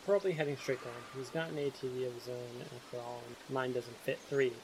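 A man's voice: medium pitch, steady tone, brisk, noisy background, natural voice. A man playing a blunt, wary monster investigator in an audio drama, talking casually. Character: blunt, wary male radio station friend and monster investigator.

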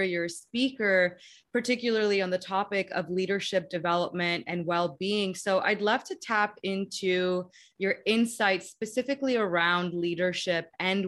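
A woman's voice: mid-range voice, fairly bright tone. A woman hosting a career podcast who reads a scripted introduction and asks affirming interview questions. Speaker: podcast host.

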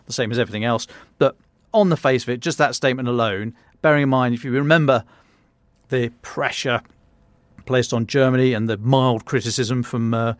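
A man's voice: mid-range voice, no echo. A British man presenting a news briefing as a podcast host, explaining informally with wry conversational asides. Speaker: podcast host and defence journalist.

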